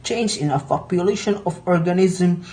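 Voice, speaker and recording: A young male student reads from a report in a subdued, quiet way. His voice is a bit high, with a dark, mellow tone. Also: moderate pace, low-quality recording. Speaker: student presenter. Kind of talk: reading a class report.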